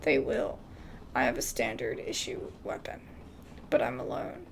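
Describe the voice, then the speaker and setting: A woman hosting a casual gaming video, reading game dialogue aloud haltingly and adding her own asides. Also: very deep voice, big pitch swings, gravelly, slight background noise, poor audio.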